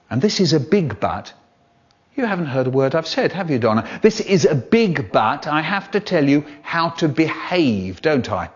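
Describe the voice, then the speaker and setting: A man's voice: fairly high pitch, breathy edge. A man putting on a stern schoolteacher who scolds a restless class with clipped sarcasm, on a dull lo-fi recording.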